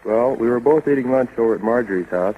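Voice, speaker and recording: A man's voice: medium pitch, fast talker, phone-quality sound. A man in his twenties as a guest in a scripted-sounding 1930s radio interview. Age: 20s. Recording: thin, slightly noisy old radio broadcast.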